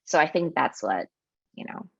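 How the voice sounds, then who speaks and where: A woman's voice: sits low, animated, normal speed, gravelly, slightly rough, dry recording. A woman from Ecuador, raised in New York, answering questions as the guest author at a virtual book event, thoughtful and digressive with many fillers. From Ecuador, raised in Queens, New York. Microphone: video call. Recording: dry, muffled video-call audio.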